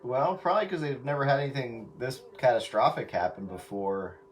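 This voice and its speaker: A man's voice: medium pitch, fairly quick. A man chatting casually as a participant in a radio round-table. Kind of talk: casual chat. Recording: distant mic, heavy radio noise.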